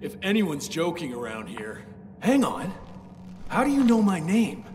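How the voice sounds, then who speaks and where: An actor playing a wary, erratic stranded spaceman in a video game, with a halting, animated delivery. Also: on the high side, far from the mic, a little hiss or hum. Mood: suspicious, confused. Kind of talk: dramatic video game acting.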